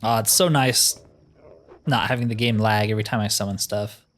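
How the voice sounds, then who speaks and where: A man's voice: fairly low pitch, steady tone, moderate pace, slight background noise. A man giving casual game commentary, thinking aloud in an easygoing way. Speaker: gamer narrating own roleplay playthrough.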